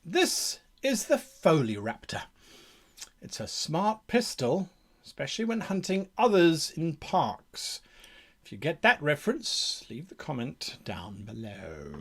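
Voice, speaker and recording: A man's voice: a little high, wildly swooping pitch, slow pace, fairly bright tone, breathy edge. A man hosting an advice show in a casual, enthusiastic explaining style, with theatrical emphasis. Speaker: host of a game mastering advice show.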